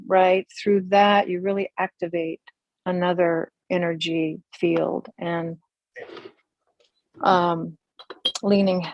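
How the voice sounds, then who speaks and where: An older woman and community elder, speaking reflectively on a video call from a room that looks onto a forest, with many pauses and restarts. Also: on the low side, darkish tone, no room sound. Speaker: interview guest, community elder.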